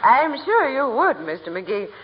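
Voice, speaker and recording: Swooping, haughty high-society matron. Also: female voice, very animated, a little slow, slight background noise. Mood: icily polite. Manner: grand, genteel, condescending. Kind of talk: 1940s radio comedy acting. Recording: muffled 1940s radio recording.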